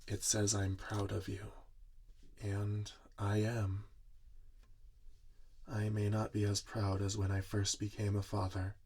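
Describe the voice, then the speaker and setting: A man playing a gentle, weary inventor and doting father in a soft-spoken ASMR roleplay, musing tenderly to his robot. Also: lowish voice, completely flat delivery, husky, exaggerated voice. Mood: tired, proud. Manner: slow, tender, musing, talking to a robot listener.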